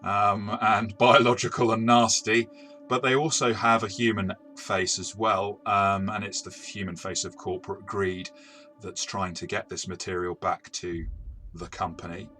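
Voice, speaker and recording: A man's voice: fairly low pitch, flat delivery, unhurried, sharp tone, faint music behind. A man co-hosting a review, talking in a casual, discursive and explanatory way. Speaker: co-host and game reviewer.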